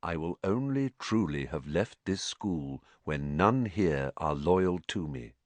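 Old wise headmaster, quiet and measured, read by a man. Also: low voice, animated, no echo. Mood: solemn.

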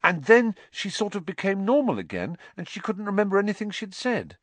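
An earnest teenage boy wizard from an audiobook, acted out by a man. The voice sits high and swings widely, with an agitated, insistent edge, recorded very dry. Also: wildly swooping pitch, a little fast, no room sound, full character voice. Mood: anxious, urgent. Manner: agitated, insistent, hesitant in places. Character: earnest, troubled teenage schoolboy wizard, male. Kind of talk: audiobook character voice.

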